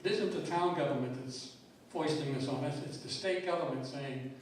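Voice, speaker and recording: A man's voice: mid-range voice, moderate pace. An older man giving a blunt, frustrated public comment at a town meeting, often repeating words as he speaks. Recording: very echoey hall, distant mic, faint noise.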